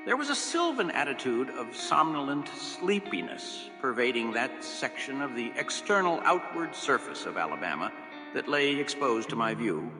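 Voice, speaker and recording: A man's voice: medium pitch, sing-song, moderate pace. A man narrating a first-person tale from a script in a wry, folksy, theatrical manner. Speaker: story narrator. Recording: long reverb, music behind the voice. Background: music.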